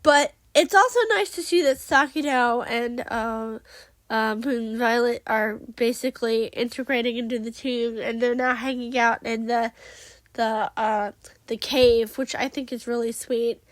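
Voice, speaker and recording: High-voiced chatty woman with a cold. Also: steady tone, a little slow, no echo. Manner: chatty, rambling, many fillers and restarts. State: sniffly from a cold. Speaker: podcast host. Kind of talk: casual solo recap podcast.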